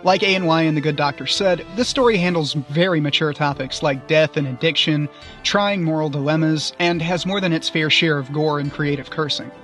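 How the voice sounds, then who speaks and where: A man's voice: a little high, a little fast, a bit bright, faint music behind. A man giving scripted review commentary as a guest reviewer.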